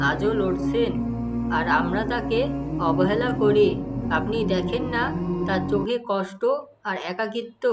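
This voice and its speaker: A woman's voice: mid-range voice, natural voice. Earnest, pleading little boy voiced by a woman. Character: small, earnest, compassionate young boy. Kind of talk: dramatised moral story dialogue. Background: music.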